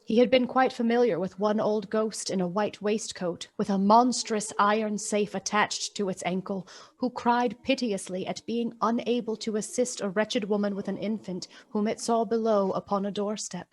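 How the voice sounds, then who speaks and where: A woman giving measured, expressive scripted narration as the storyteller of a radio drama. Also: medium pitch.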